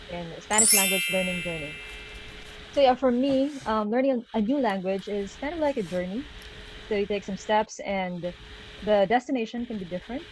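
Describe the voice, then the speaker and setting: A Filipino woman in her thirties, a native Tagalog speaker, talks through her slides on a video call. Her voice sits at a middle pitch for a woman. She keeps a friendly, conversational tone, with frequent "uh" fillers and "okay?" check-ins. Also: a little mellow, slight background noise, rough recording. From Philippines. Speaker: guest speaker. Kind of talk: online presentation.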